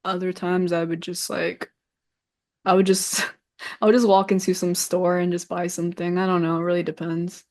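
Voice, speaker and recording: A woman's voice: on the low side, little pitch movement, normal speed, a little mellow. A woman in her twenties whose first language is Cantonese, a streamer chatting in an interview, hesitant and full of "like". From San Francisco Bay Area. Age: 20s. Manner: hesitant, lots of "like" and trailing off. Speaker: streamer guest. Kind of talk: casual interview chat.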